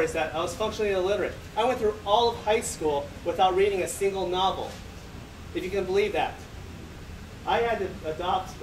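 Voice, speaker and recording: A man whose first language is Chinese gives a self-deprecating conference talk, stumbling over fillers and cracking jokes. His voice sits slightly high, picked up from far off in an echoey hall with noticeable room noise. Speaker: conference presenter.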